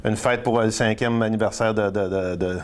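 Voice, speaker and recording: A man's voice: on the low side, steady tone, brisk, clean tone. A man with a Quebec French accent, a country singer and trucker, telling his story in a casual interview with many fillers and restarts.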